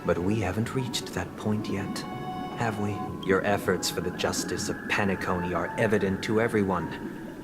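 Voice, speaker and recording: Slow, solemn, courteous zealot. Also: male voice, on the low side. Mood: courteous, cool. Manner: formal, preaching, gently condescending. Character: solemn, soft-spoken young zealot leader, courteous and messianic. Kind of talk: scripted video game dialogue. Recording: background music under the voice.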